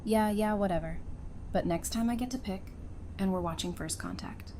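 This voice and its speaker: A woman's voice: mid-range voice, normal speed, mellow, lightly acted. Wry, teasing Talissa, played by a woman. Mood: warm, wry. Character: Talissa, sassy, teasing, warm-hearted woman. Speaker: voice actor in a fan audio drama. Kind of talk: acted audio drama dialogue. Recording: faint background ambient noise.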